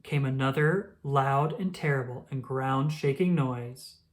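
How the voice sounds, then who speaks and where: A woman reading a children's book aloud as an expressive storyteller. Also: extremely low pitch, unhurried.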